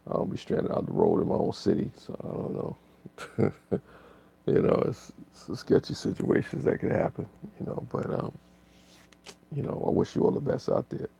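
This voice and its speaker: A man's voice: fairly low pitch, huge pitch swings, normal speed, warm tone. A tired man, hosting a laid-back live stream and rambling through chat comments. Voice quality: raspy.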